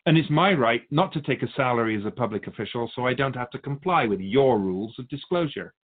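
Man as a wise spirit guide, lively intonation. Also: medium pitch, narrow, tinny audio, lightly acted. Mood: mock self-righteous. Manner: measured, didactic, philosophical. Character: wise, patient spirit Keeper of souls, gently chiding. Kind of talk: spiritual teaching in dialogue.

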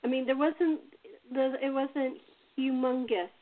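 A woman hosting a casual group conversation, speaking in a halting, conversational way. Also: high voice, steady tone. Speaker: host. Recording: thin phone-like call audio.

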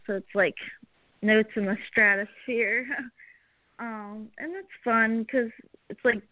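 A young woman singer answering interview questions, pausing often with many ums and small laughs. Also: a little high, no echo. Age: young adult. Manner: hesitant, many ums, giggly. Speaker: singer, guest. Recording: tinny phone-like line.

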